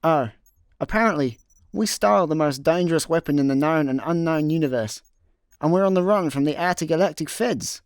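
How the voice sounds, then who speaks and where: A man's voice: a little high, fairly quick, smooth, no echo, studio quality, natural voice. A man playing an easygoing, slightly more sensible slacker in a comedy sci-fi radio play, casual and hesitant. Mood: sheepish.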